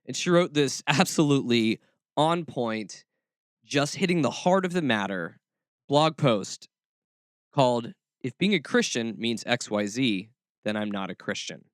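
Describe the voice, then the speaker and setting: A man's voice: mid-range voice, expressive, a little slow, clean tone, no room sound. Dry-miked male podcast host with wry asides. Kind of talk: casual talk show commentary.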